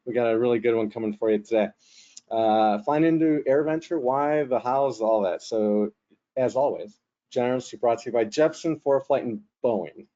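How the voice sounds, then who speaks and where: A man's voice: mid-range voice, moderate pace, warm tone. A man hosting and moderating a webinar in a casual, joking way, rambling with fillers. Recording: slightly muffled webinar audio.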